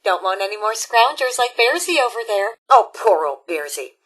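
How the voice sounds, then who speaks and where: A woman voicing a cheery, motherly elderly robot scout leader in a video game, with a folksy American Upper Midwest accent and a husky edge. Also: medium pitch, big pitch swings, normal speed, a bit bright. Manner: folksy, chirpy, sing-song, fussing over campers. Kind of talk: video game character acting.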